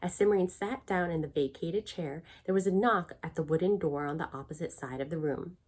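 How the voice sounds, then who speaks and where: A middle-aged woman reads a children's novel aloud. Her voice sits a little low for a woman. She phrases the story clearly and expressively, as a storyteller. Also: fairly quick, a little mellow. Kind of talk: children's book read-aloud narration. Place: small furnished room at home.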